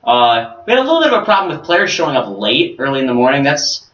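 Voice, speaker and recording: A man's voice: on the high side, sing-song, quick pace, a bit bright. A man co-hosting a casual podcast, steering the topics and asking questions. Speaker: podcast co-host. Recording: roomy, distant, dull mic.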